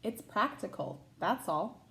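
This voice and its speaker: A woman's voice: mid-range voice, animated, takes it slowly, a bit bright. A woman reading the part of an outspoken, determined First Lady in a children's storybook, with emphatic delivery. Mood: firm.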